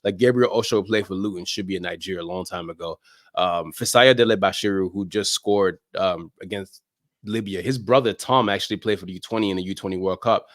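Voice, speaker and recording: Male podcast guest, very quick, emphatic casual talk, close dry sound. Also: low-pitched, dry recording. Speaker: guest, soccer podcast creator. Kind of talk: animated casual interview chat.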